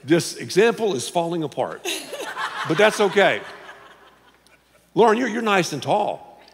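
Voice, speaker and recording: An older male pastor preaches from a big church stage, his voice lively and rising a little high. He's playful, often sinking to a low confiding tone. A long hall reverb trails his words. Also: unhurried, creaky, crowd noise. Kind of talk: sermon. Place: large church stage before an audience.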